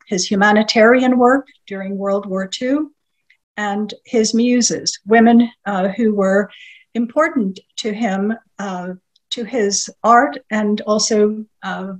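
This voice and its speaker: A woman's voice: medium pitch, low-quality recording. Older woman lecturing from her study. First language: Russian. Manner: measured, with frequent filler pauses. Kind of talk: online lecture. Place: home study with bookshelves. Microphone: webcam video call.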